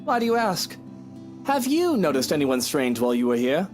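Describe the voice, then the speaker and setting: A man's voice: on the high side, huge pitch swings, normal speed, faint music behind. Haughty, smug rich man, swinging intonation. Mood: stern, suspicious. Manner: haughty, commanding, clipped. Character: arrogant, smug male mansion owner, curt and jealous. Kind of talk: visual novel voice acting.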